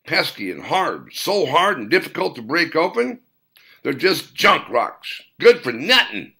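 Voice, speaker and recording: Wizened old rustic acted by a male narrator, folksy, forceful and gravelly, clipped audiobook character. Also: a little high, very animated, measured pace, voice pushed up, full character voice. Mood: dismissive. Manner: clipped rural grammar, emphatic. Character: wizened old mountain man, folksy and grumbling.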